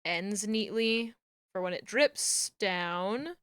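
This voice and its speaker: A young woman with a New Jersey accent, chatting casually on a live stream while she works, murmuring to herself and then greeting viewers with loud squeals. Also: mid-range voice, expressive, takes it slowly, clear, resonant tone, dry recording. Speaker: VTuber streamer rigging a model.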